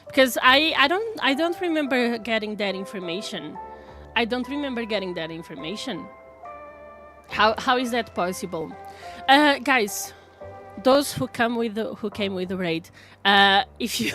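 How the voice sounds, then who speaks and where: A woman's voice: a little high, a bit bright. A woman from Portugal with a Portuguese accent, chatting casually with viewers on a live stream, laughing often and restarting her words.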